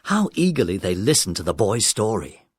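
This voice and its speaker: A man's voice: fairly low pitch, sing-song, normal speed, husky, no echo, clean studio sound. A man narrating a scripted children's adventure story in a dramatic, listener-friendly storytelling manner. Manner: dramatic, addresses the young listeners.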